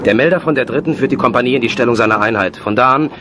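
An actor playing a dutiful, anguished army lieutenant in a war radio drama. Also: male voice, mid-range voice, very fast, noisy background, close to an everyday voice. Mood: firm.

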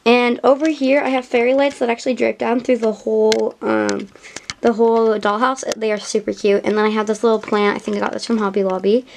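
This is a young female vlogger with an American accent and a slightly high voice. She talks in a chatty, informal way, sprinkling in "like" as she guides viewers through a casual tour at home. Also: little pitch movement, fairly quick, gravelly, a little mellow, slight background noise. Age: young, child or teenager. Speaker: doll vlogger giving a house tour. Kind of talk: casual home vlog narration. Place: indoor room at home, behind the camera.

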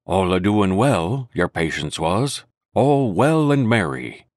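A man's voice: low-pitched, big pitch swings, slow, no echo, full character voice. A hearty old pirate cook with a crutch, all false good nature and salty sea talk, put on by a man reading a storybook. His voice rolls widely up and down. The sound is close and dry. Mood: jovial. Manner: wheedling, jovial sea talk.

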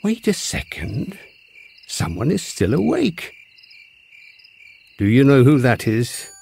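A man narrating a bedtime story in a soft, soothing way, speaking directly to a child listener. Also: medium pitch, wildly swooping pitch, takes it slowly, gravelly, a little hiss or hum.

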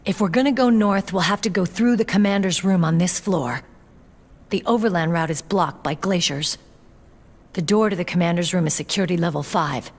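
A woman's voice: on the low side, normal speed, creaky. An earnest young female soldier. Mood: brisk, confident. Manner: earnest, determined, plain-spoken. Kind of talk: dramatic video game cutscene acting. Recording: background music under studio voice.

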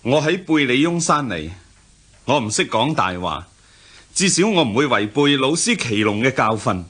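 An actor with a Hong Kong Cantonese accent playing a proud, honest young prince in a radio drama, earnest and declamatory. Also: male voice, mid-range voice, sing-song, moderate pace, fairly bright tone, breathy edge.

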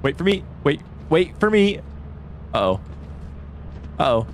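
Animated young man shrieking over a game. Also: on the high side, unhurried, a little hiss or hum. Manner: shrieks and laughs in panic. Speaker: streamer. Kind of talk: excited gaming commentary.

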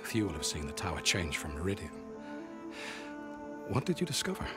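A man's voice: low-pitched, sing-song, fairly quick. An actor playing a solemn, courteous middle-aged male leader in a video game, grave and formal. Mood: grave, cautioning. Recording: game music under the voice. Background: loud game music.

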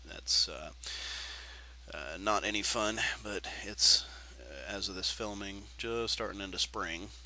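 A man's voice: fairly low pitch, a little slow, gravelly, rough recording. A man hosting a tech screencast tutorial, talking casually with lots of fillers, sounding nasal and stuffy from allergies. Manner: relaxed, rambling with many fillers.

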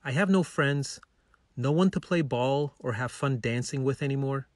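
A man's voice: medium pitch, moderate pace, dry recording, clean studio sound, lightly acted. A man voicing a loving, loyal, playful pet dog in a children's storybook, polite and earnest. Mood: sad, lonely.

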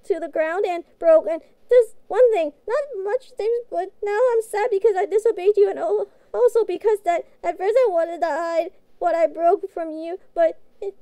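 A woman playing a childlike, guilt-ridden catgirl pet in a fantasy audio roleplay, speaking in halting, pleading, naive phrases. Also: very high voice, mellow, no room sound. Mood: tearful, guilty.

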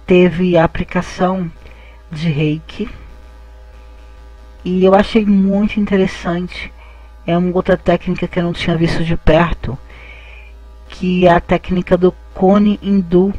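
A man's voice: high-pitched, level tone, a little slow, pauses often, a little mellow, muffled recording. A man, hosting his channel and narrating an event video as a voice-over. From Brazil. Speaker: channel host. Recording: faint music behind the voice.

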